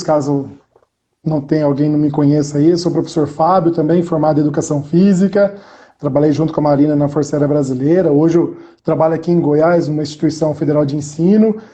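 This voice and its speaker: Man with a warm, raised voice, hosting. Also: fairly high pitch. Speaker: host, physical education teacher. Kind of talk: hosting a live interview. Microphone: video call.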